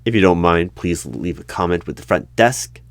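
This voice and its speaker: A man in an ASMR roleplay as the stern hiring manager of a secret bureau, with deadpan questioning that breaks into sudden loud bursts and whispers. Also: low-pitched, normal speed, a little hiss or hum. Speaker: interviewer in a roleplay.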